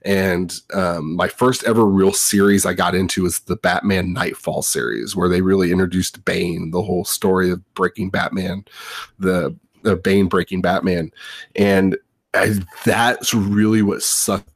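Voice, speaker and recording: A man's voice: sits low, fairly even intonation, measured pace, dry recording, clean studio sound. A man in his thirties telling personal stories casually as a podcast host, in a rambling, enthusiastic way. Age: 30s.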